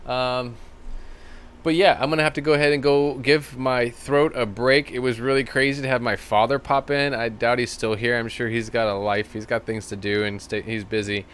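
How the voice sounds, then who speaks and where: A man with a slightly rough, tired voice hosting a live stream, rambling casually and thanking viewers by name. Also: mid-range voice, steady tone, normal speed, fairly bright tone. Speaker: livestream host. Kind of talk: casual live-stream chat reading comments.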